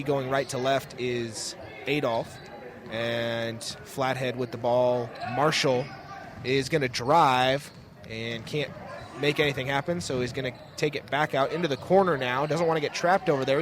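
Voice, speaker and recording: A young American man calling high school basketball as a live radio play-by-play announcer. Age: young adult. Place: indoor high school gym, courtside. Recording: noisy gym ambience. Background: bouncing basketballs, gym noise.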